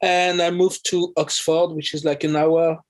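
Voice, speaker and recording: High-voiced, hesitant Frenchman. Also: little pitch movement, measured pace. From Paris, France. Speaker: musician, interview guest. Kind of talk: casual interview chat.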